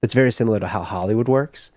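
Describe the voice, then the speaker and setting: A man's voice: on the low side, rapid-fire, darkish tone, dry recording. A man from Chicago chatting casually on a podcast, rambling with fillers and restarts. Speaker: podcast guest, musician. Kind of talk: casual chat.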